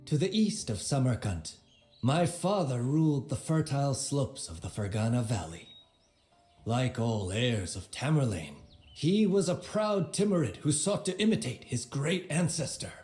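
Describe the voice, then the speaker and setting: An actor playing an aging, proud conqueror who tells his life story in a measured, theatrical, wry way, as dramatic game narration. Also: mid-range voice, warm tone. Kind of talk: dramatic scripted narration of a memoir. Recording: roomy voice over background music. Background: music.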